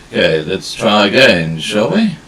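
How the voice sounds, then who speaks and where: A man hosting a live video call show, chatty and halting as he sets things up. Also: on the low side, fairly bright tone, recorded from a distance. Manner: chatty, halting, many restarts. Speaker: host.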